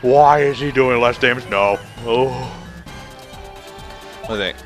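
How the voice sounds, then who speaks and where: A man's voice: mid-range voice, slow, loud music behind the voice. A man hosting a let's play with casual, rambling, goofy commentary over a video game. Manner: rambling, goofy, breaks into put-on voices.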